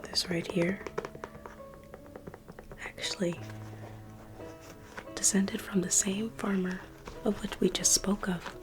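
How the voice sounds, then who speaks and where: A woman whispering softly and reassuringly as a private museum guide in an ASMR roleplay. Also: mid-range voice, fairly even intonation, slow pace, pauses often, music in the background. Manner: soft whispering, intimate and reassuring.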